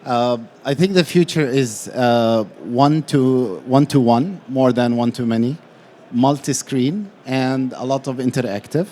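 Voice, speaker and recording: A man with a mellow, relaxed tone speaks in short, broken phrases on a conference panel. Some background noise sits behind his voice. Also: medium pitch, a little slow. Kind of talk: conversational panel remarks.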